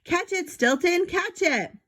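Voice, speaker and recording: A woman's voice: very high-pitched, animated, slow, a bit bright, pitched above the performer's own voice, exaggerated voice. A cheeky mouse detective from a children's storybook, put on by a woman. He pipes up in a raised, perky voice and teases with mocking confidence. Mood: urgent.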